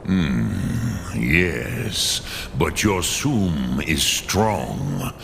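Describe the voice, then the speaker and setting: Ancient, very deep, gravelly dragon. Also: male voice, sing-song, a bit bright, a little hiss or hum, heavily acted. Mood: musing, sage. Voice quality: gravelly, rumbling growl. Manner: deliberate, drawn-out, mixing in words of an archaic tongue. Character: ancient wise male dragon, slow and ponderous. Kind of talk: video game character dialogue.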